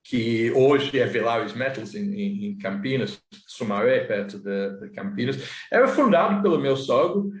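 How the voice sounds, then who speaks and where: A man's voice: mid-range voice, reverberant, rough recording. A man in his sixties from England, a native English speaker with an English accent, telling his life story as an interview guest with many restarts and fillers.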